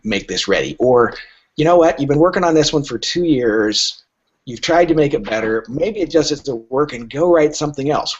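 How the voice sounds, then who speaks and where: A middle-aged or older man gives candid, emphatic interview answers, his pitch swinging widely as he acts out imagined exchanges. His voice is somewhat husky rather than crisp. The podcast recording is dull and lo-fi. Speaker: literary agent interviewed as a podcast guest.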